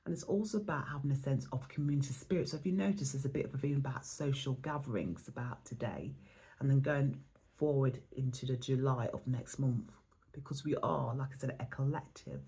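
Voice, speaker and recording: Hoarse woman giving a card reading. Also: extremely low pitch, brisk. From England. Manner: reflective, rambling, gentle. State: hoarse, losing her voice. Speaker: oracle card reader. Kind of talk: spiritual vlog monologue.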